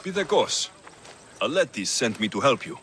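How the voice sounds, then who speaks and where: Bright, confident mercenary warrior. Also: male voice, mid-range voice, sing-song, normal speed, a little hiss or hum. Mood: wry. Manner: dry, self-assured. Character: wry, confident male mercenary warrior of ancient Greece. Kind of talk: video game character acting.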